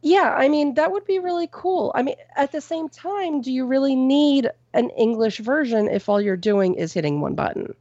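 A woman co-hosting a podcast from home on a boom-arm mic. She reads out a news story and then chats about it loosely, with plenty of "um" and "you know". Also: lively intonation, moderate pace, hardly any pauses, dark tone, dry recording, dull audio. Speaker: podcast co-host reading news. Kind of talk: casual news chat. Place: at home with a cat nearby. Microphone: microphone on a boom arm.

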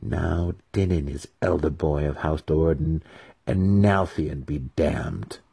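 A man voicing a treacherous male dark elf warrior with a scheming, theatrical delivery in a fantasy audiobook. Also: rumbling low, slow pace, creaky, slightly rough, exaggerated voice. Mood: gloating. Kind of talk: dramatic fantasy audiobook reading.

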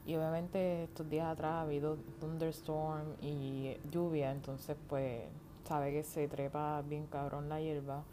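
A woman's voice: sits low, little pitch movement, measured pace. A woman from Puerto Rico with a Puerto Rican Spanish accent, hosting a casual solo podcast in an informal, rambling way with slang and some English words. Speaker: podcast host. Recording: home recording with outdoor yard noise. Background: faint noise from outside.

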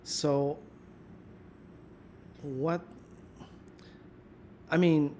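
A man's voice: a little high, fairly even intonation, extremely slow, dark tone, a little hiss or hum. A man interviewing a guest in a casual chat, thinking aloud and filling his questions with "like". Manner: rambling, thinks aloud with many "like"s.

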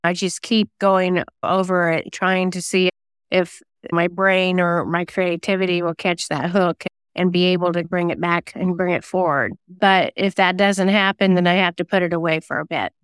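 A woman's voice: fairly low pitch, little pitch movement, normal speed, clean tone, dry recording. A woman talking reflectively as an interview guest, thoughtfully telling personal anecdotes about creative work. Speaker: singer-songwriter and novelist guest; former radio DJ.

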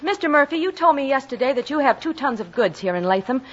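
An actress playing a determined, earnest young western daughter, in old-time radio style. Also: female voice, sits high, big pitch swings, quick pace. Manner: earnest, challenging. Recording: dull, noisy old radio recording.

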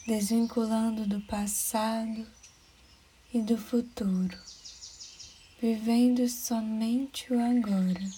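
A Brazilian woman leads a guided meditation at a very unhurried pace. Her delivery is soft and soothing, with phrases trailing into breath. Birdsong and noticeable ambient noise sit behind her voice. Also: on the high side, flat delivery. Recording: clear background noise with birdsong. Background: birds chirping, outdoor-like ambience.

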